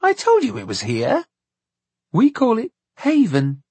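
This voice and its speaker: A narrator voicing an excitable, proud young girl who speaks in childish, exclamatory dialect for a storybook. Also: high-pitched, a little slow, husky, no room sound, voice pushed up, exaggerated voice. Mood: indignant.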